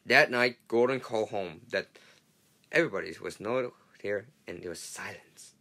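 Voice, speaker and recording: A man narrating a children's storybook read-along in a halting, stumbling way. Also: lowish voice, pushed and bright.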